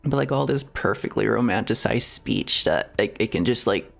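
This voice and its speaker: Creaky-voiced man chatting on a livestream. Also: fairly low pitch, expressive, fairly bright tone. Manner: halting, rambling, giggly. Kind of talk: casual livestream chat. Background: video game music.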